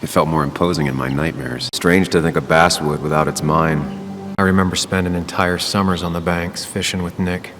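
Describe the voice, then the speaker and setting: A man acting a very deep-voiced brooding loner. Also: steady tone, moderate pace, lightly acted. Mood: brooding, reflective. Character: brooding, melancholy male former journalist, solitary and cynical. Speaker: game protagonist narrator. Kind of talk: introspective game voice-over monologue. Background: music.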